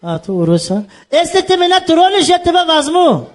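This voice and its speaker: A man's voice: very high-pitched, very animated, normal speed. A man playing a cheerful, naive Tajik migrant man in Moscow on a comedy phone call, speaking broken Russian mixed with Tajik in a Tajik accent. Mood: bumbling.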